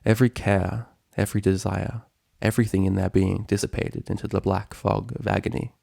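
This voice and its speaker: A male narrator reads a dramatic story. His voice is low and noticeably gravelly, with a solemn, measured delivery, recorded very dry and close. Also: flat delivery, mellow, dry recording. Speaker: narrator, fan fiction reader.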